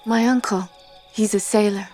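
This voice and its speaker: A woman's voice: mid-range voice, fairly even intonation, normal speed, darkish tone, soft background music, lightly acted. An actress playing an earnest, protective young woman in a film drama. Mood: concerned, reassuring.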